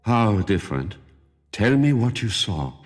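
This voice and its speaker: Deep, echoing male mind guide. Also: very animated, soft background music. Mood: probing. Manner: measured, formal, probing. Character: mysterious male mind guide, calm and formal mentor. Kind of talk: audio drama acting. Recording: long cavernous reverb.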